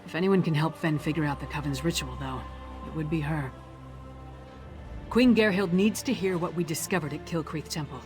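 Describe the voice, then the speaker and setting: A woman's voice: deep voice, a little fast, darkish tone, faint music behind, natural voice. An actress playing a blunt, hot-tempered but dutiful warrior woman in a video game, forthright and plain-spoken. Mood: matter-of-fact. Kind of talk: scripted video game dialogue.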